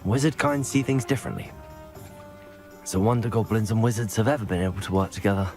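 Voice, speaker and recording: An actor playing a polite, composed young wizard adventurer in a video game, speaking articulately. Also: male voice, on the low side, quick pace, darkish tone, faint music behind, close to an everyday voice. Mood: polite, curious. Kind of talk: video game cutscene acting.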